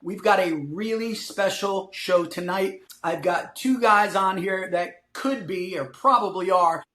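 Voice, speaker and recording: A man's voice: sits high, measured pace, bright, forceful tone. A man hosting a casual podcast interview, greeting guests with enthusiastic hype and asking easygoing questions. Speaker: podcast host. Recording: echoey room.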